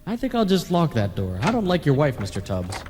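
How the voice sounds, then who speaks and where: A man acting a sly, sardonic male ghost who flatters smoothly and insinuatingly in a radio comedy play. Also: a little high, fairly quick, very noisy. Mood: wry. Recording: reverberant analogue tape of 1980s radio play.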